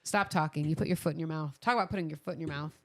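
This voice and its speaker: A woman's voice: deep voice, rapid-fire, no echo. An American woman co-hosting a podcast, chatting informally with blunt, agreeable interjections. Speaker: podcast co-host. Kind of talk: casual reactive chat.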